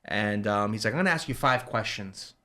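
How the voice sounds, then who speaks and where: A man from New Jersey, a shop owner, telling a story in a casual chat and acting out the people he quotes. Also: fairly low pitch. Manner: fast, animated retelling with quoted dialogue. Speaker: guest, ice cream shop owner.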